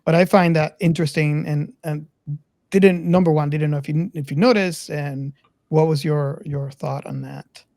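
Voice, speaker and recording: Measured male podcaster, very dry sound. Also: a little high, steady tone, vocal fry, a little mellow, no echo. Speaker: podcast co-host. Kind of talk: casual film discussion.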